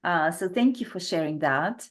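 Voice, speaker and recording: A woman's voice: lowish voice, expressive, moderate pace. A woman hosting a podcast interview, encouraging and affirming her guest. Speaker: podcast host. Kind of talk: casual interview chat.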